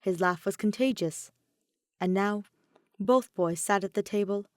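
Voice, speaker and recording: A woman's voice: mid-range voice, unhurried, darkish tone, no echo, studio quality. A woman reading a piece of fan fiction as scripted story narration. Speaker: podfic narrator.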